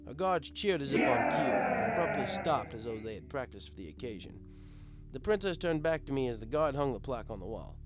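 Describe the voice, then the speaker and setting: A man's voice: mid-range voice, very animated, fairly quick. A man narrating a first-person story as a bartender, conversational and wry. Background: guitar music.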